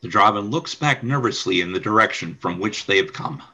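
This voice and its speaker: A man's voice: lowish voice, lively intonation, a little fast, pushed and bright, breathy edge. A man reading scripted narration for a radio play, describing scenes and action.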